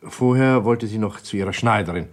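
An actor playing an uneasy, guarded doctor in a German radio crime drama, speaking haltingly and evasively. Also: male voice, lowish voice, close to an everyday voice. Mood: explanatory. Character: uneasy male doctor, guarded jealous husband.

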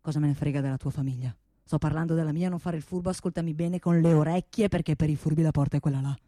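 A woman's voice: sits low, fairly even intonation, fast talker, mellow, dry recording, clean studio sound, pitched below the performer's own voice. A woman voicing a pompous, bullying male arms-factory owner, blustering and folksy, tagging his talk with "eh?" in a comic theatrical monologue. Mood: stern, bullying.

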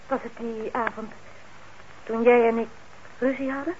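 An actress playing a shopkeeper's spirited young daughter in a radio drama, loyal and caring. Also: female voice, a little high, fairly even intonation, normal speed, close to an everyday voice. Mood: earnest, contrite. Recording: dull, noisy radio recording, slightly distant.

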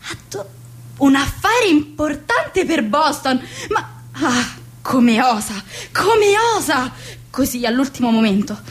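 Woman acting a high-voiced, bossy society mother. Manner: haughty, melodramatic, scolding. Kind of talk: theatrical radio comedy acting.

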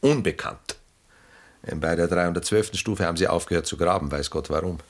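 Low-voiced, urbane, ironic gentleman. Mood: fascinated. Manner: polished, cultivated, wry, measured. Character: Sala, urbane, ironic, detached gentleman aesthete. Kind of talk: mid-century German radio play acting.

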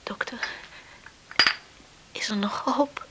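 An actress in a Dutch radio play playing an anxious, dutiful farm daughter who speaks politely. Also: female voice, slow pace, in a whisper, natural voice. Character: anxious dutiful farm daughter tending her sick mother. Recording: old radio recording, faint noise.

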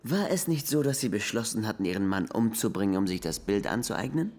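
Gravelly, insistent police interrogator. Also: male voice, fairly low pitch, animated, a little fast, darkish tone. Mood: accusing. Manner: pressing, pointed. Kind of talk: crime radio drama acting. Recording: music under the voice.

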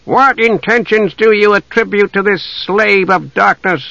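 Man voicing a haughty elven warrior, raised pitch. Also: a little fast, creaky, bright, forceful tone, no echo, lo-fi sound, exaggerated voice. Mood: hostile, challenging. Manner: formal, accusing declamation. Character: wary, haughty male elven warrior challenging intruders. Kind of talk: fantasy audiobook character voice.

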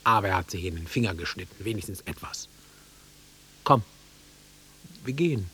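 A man's voice: deep voice, animated, normal speed, sharp tone, slight background noise. An actor playing an awkward, sentimental middle-aged man in a German radio drama, self-deprecating and swinging between murmurs and outbursts. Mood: agitated, insistent.

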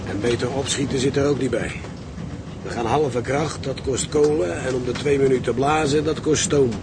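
Man playing a creaky, gloomy ship's engineer. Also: mid-range voice, normal speed, natural voice. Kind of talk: radio drama acting. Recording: ship and wind noise behind.

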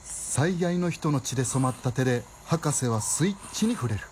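A man's voice: mid-range voice, lively intonation, moderate pace, a little hiss or hum, lightly acted. A man playing a theatrical storyteller who narrates a macabre radio drama in suspenseful, clipped phrases. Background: loud music.

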